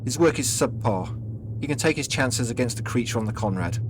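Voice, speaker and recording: A man's voice: mid-range voice, lively intonation, a little fast, voice pushed up, close to an everyday voice. A male storyteller voices a sinister man in charge, cold and curt. He speaks in imperious commands, as an audio story villain, with noticeable noise behind the voice. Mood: cold, commanding. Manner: imperious, clipped orders.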